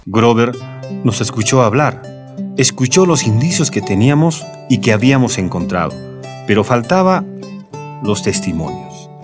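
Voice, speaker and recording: A man narrating a scripted documentary account in measured, storytelling sentences. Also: medium pitch, big pitch swings. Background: plucked guitar music.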